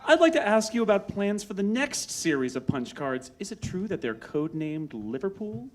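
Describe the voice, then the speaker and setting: A man's voice: high voice, big pitch swings, moderate pace. A man playing a brash, bombastic radio newsman and announcer in a mock old-time radio show, punchy and theatrical. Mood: prying. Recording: live show with music behind.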